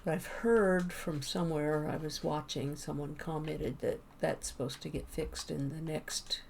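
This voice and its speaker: A woman streaming a game, chatting casually with viewers and rambling as she thinks out loud. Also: low-pitched, steady tone, unhurried, creaky, a little hiss or hum. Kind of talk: casual gameplay chat with viewers.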